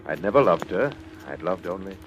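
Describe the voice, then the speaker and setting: An actor playing a cold, controlling murderous doctor in an old-time radio suspense drama, speaking formally and deliberately with theatrical weight. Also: male voice, low-pitched, animated, background noise. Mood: grim confession. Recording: vintage radio drama, music underneath.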